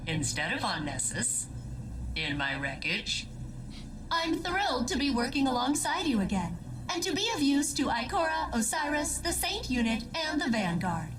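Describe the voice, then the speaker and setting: A woman voicing a cheerful, eccentric spaceship AI in a video game, chirpy and theatrical with wry turns. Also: on the high side. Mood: wry, needy. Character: cheerful eccentric female spaceship AI, eager, needy, dramatic. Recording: distant sound, clear background noise.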